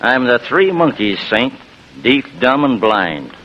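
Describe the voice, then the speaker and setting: An actor playing a shady, evasive pool room owner, slangy and wisecracking, in 1940s radio style. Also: male voice, mid-range voice, very animated, slow, a bit bright. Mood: evasive, uneasy. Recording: dull noisy old radio recording.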